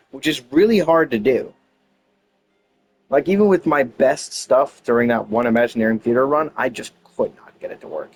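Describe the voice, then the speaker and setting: A man's voice: medium pitch, darkish tone, rough recording. Casual man rambling over game music. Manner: rambling, thinking aloud. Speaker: gamer commenting on own playthrough. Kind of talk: casual gameplay commentary.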